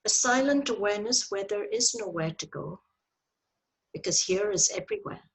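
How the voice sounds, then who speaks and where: A woman leading a guided meditation in a soft, breathy voice, with gentle, deliberate phrasing and long pauses, heard over a video call. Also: medium pitch, animated, poor audio. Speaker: meditation teacher leading a yoga nidra. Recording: video call audio with clicks.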